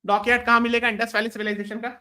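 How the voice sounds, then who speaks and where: Rapid high-voiced Indian man teaching. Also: flat delivery, pushed and bright. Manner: switches between English and Hindi; repeats phrases and checks understanding often. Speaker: teacher of an online exam-prep class. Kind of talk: live lesson, explaining quiz answers to students.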